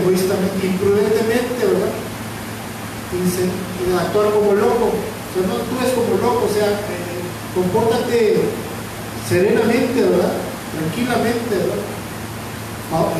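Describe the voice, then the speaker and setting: A man's voice: high-pitched, background noise. A man with a Mexican accent preaching a sermon in a church, talking in a loose, conversational way and often asking "verdad?". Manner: conversational, repeats words and asks "verdad?" often. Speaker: pastor. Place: church hall. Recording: echoey hall, from far off.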